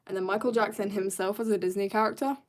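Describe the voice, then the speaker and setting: A teenage girl from South Africa chatting casually to her viewers while she draws, rambling and joking at herself, sometimes dropping to quiet mutters. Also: medium pitch, steady tone, quick pace. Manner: chatty, rambling, self-mocking asides. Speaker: art streamer. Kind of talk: casual chat while drawing.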